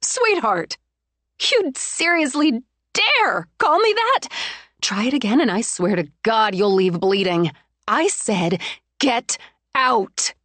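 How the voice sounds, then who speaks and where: A woman voicing a furious, betrayed young woman who shouts in biting, raised tones. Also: sing-song, slow, dry recording, full character voice. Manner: shouting, biting, loud and high.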